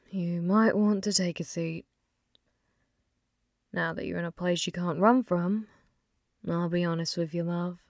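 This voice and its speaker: A woman playing a flirty, possessive, taunting female demon in an ASMR roleplay, slow and intimate, often slipping toward a whisper. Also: fairly low pitch, creaky, no echo, studio quality.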